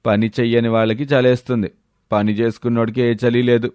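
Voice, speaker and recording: A man's voice: mid-range voice, level tone, brisk, darkish tone, clear, resonant tone, dry recording, studio quality, natural voice. A man playing a hardworking village labourer, blunt and reproachful, in a storybook tale. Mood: scornful. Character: hardworking village men scolding a lazy neighbour. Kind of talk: storybook character voice.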